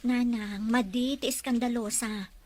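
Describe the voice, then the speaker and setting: A woman with an Ilocano Filipino accent playing a composed but suspicious wife in a radio drama, speaking in a measured, earnest way. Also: on the high side, fairly even intonation, natural voice. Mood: pleading calm. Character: composed, suspicious wife quietly checking on her husband.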